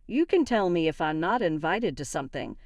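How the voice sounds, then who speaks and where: A woman's voice: lowish voice, very animated, a little fast, a little mellow, no echo, clean studio sound. A woman playing a wronged, indignant wife in a narrated story, argumentative and emphatic. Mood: hurt, reasoning. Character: wronged, indignant young wife confronting her in-laws. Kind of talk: acted dialogue in a narrated story.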